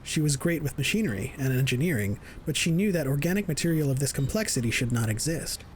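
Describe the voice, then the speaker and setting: A man's voice: a little high, animated, fast, a little hiss or hum. A man narrating a scripted science fiction audio drama in the third person.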